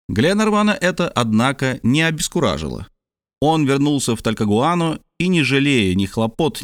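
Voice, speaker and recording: A man narrating an adventure audiobook in a measured, steady reading style. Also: mid-range voice, no echo, studio quality. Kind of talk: scripted narration.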